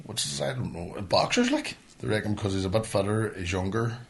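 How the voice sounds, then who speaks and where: A man with a Northern Irish accent co-hosting a podcast in casual, sweary banter, blunt and colloquial and quick to argue. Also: fairly low pitch, expressive, creaky. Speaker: podcast co-host.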